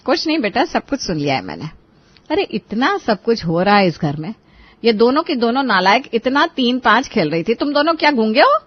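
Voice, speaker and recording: A woman's voice: on the high side, big pitch swings, brisk, slightly rough, dry recording, muffled recording. A woman voicing a scolding, protective older mother-in-law in a Hindi cartoon, questioning her family sharply. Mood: indignant, protective.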